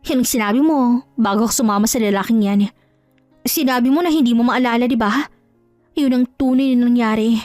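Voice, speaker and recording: A woman's voice: fairly high pitch, fairly quick, long pauses, a little mellow, dry recording, soft background music, close to an everyday voice. A woman playing a scheming false friend, smug, scornful and insinuating, in a melodramatic audio drama. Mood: smug accusing.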